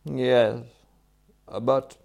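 Give me a man playing an excitable, slangy young English toff from a comic storybook, blustering and exclamatory, with a posh accent and some creak in the voice. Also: mid-range voice, very slow, dull audio, pitched above the performer's own voice. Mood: airy. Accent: upper-class English. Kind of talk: acted audiobook dialogue.

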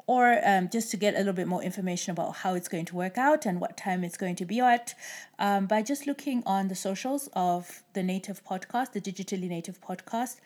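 Female podcast interviewer, mid-pitched and mellow, conversational delivery.

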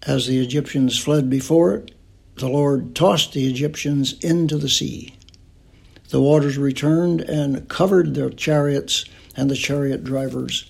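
Male scripture reader, slow and solemn, with a husky, creaky voice. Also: mid-range voice, darkish tone.

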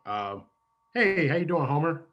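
A man's voice: fairly high pitch, sing-song, a little slow, fairly bright tone, highs cut off. A man acting out improvised comedy scenes in a casual, conversational style.

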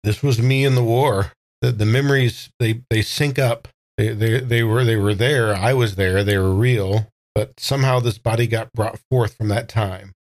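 A man playing an uncertain old man reborn in a young body, speaking haltingly with repeated words in an improvised audio drama. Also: on the low side, steady tone, close to an everyday voice. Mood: hesitant, troubled.

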